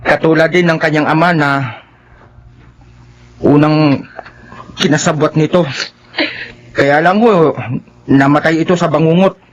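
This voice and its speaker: A man with a Filipino accent playing a respectful employee grimly explaining his boss's misdeeds in a halting way, in a radio horror drama. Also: a little high, fairly even intonation, muffled recording, lightly acted. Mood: grave, confiding. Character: deferential male employee grimly confessing his boss's crimes.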